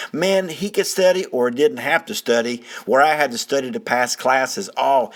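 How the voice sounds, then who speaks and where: A man narrates in a dramatic first-person storytelling style. His delivery is folksy and colloquial, leaning on key phrases and pausing for suspense. His voice sits at a medium pitch. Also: a bit bright. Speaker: narrator of true stories.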